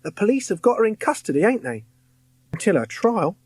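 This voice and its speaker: A man playing a streetwise young ghost, chummy and reassuring to his friend. The voice sits well above a man's usual pitch and moves about a lot. The sound is dry and close. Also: big pitch swings, brisk, darkish tone, breathy edge, no room sound, exaggerated voice. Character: cheeky boy ghost, cheerful and streetwise.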